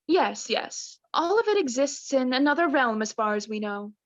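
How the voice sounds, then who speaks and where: A woman's voice: high voice, big pitch swings, normal speed, no room sound. A young woman playing an oblivious, doting mother with an arch, theatrical manner in a radio play. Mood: arch, hedging. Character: doting, oblivious mother, affectedly genteel wife. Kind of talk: student radio play acting. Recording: dull, muffled lo-fi home recording.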